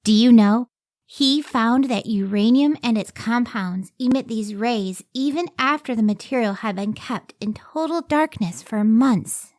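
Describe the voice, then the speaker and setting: A woman's voice: a little high, a little slow, a little mellow, lightly acted. A woman playing a thoughtful, dutiful young woman scientist in an old-time radio drama style. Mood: intent curiosity.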